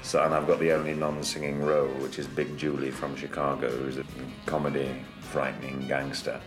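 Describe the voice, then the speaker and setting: A man with a bass voice, an actor recalling a stage role in a chatty interview, with hesitant fillers. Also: level tone, fairly quick, over music. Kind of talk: relaxed interview recollection.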